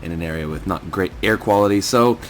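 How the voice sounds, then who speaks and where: A man with a hoarse, scratchy voice giving steady, methodical commentary as he plays a video game. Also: low voice, a little fast, soft background music. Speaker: gaming channel host. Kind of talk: let's play commentary. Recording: game gunfire and ambience behind the voice.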